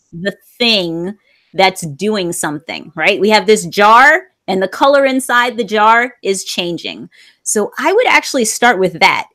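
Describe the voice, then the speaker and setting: A woman's voice: fairly high pitch, a bit bright. Encouraging woman teaching English online. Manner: encouraging, explains slowly and repeats phrases. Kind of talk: live online class teaching.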